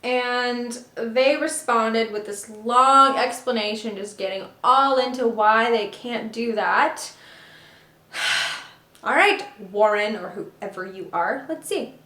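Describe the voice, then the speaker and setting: A young woman whose first languages are Russian and Ukrainian talks to the camera in a casual vlog, reading emails out loud and cutting in with sarcastic, mocking asides. Her voice sits a little high. The room at home gives her an echoey sound. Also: slow pace. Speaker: artist and vlogger.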